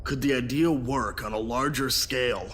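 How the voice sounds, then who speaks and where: A man's voice: mid-range voice, moderate pace, fairly bright tone, a little hiss or hum, natural voice. A man playing a brooding, careworn ship captain in an audio drama. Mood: pensive, preoccupied.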